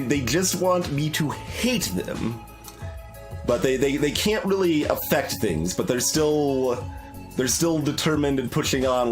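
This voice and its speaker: A man hosting a game playthrough, talking through his thoughts with many pauses and restarts. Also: mid-range voice. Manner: thinking aloud, many fillers and restarts. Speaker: let's play host. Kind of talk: casual game commentary.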